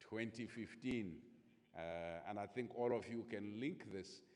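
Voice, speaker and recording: A man's voice: lowish voice, fairly even intonation, unhurried, darkish tone. A man chairing a conference panel, adding his own reflective comments and posing rhetorical questions. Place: large conference hall. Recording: reverberant conference hall.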